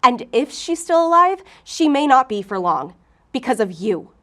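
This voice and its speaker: Volatile, irritable FBI agent. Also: female voice, high-pitched, very animated, normal speed, a bit bright. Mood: angry, accusing. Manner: curt, profane, irritable. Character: volatile, defensive, obsessive female FBI agent. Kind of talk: audio drama acting.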